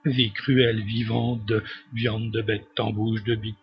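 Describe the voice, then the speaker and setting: A man's voice: on the low side, slow pace. A man reciting a spoken-word poem as an incantatory litany, in short, repeated, fragmented phrases. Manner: repetitive fragmented short phrases, monotone chant. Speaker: poet reciting his own text. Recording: dull lo-fi audio with faint noise.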